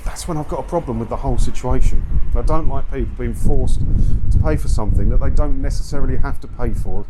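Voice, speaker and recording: A man's voice: mid-range voice, quick pace. A middle-aged man chatting informally and bluntly as he hosts a walking vlog. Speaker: video creator and host. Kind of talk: casual walking vlog, rambling chat to camera. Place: outdoors, walking around a seaside town. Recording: outdoor ambience with traffic and faint noise.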